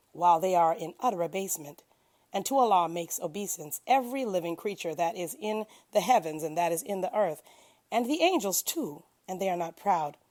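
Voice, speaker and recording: A woman with a fairly low voice reads scripture aloud in a devotional style. Her delivery is solemn and declamatory, leaning firmly on key phrases. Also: a little mellow. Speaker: scripture reader. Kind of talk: devotional read-aloud of Qur'an translation.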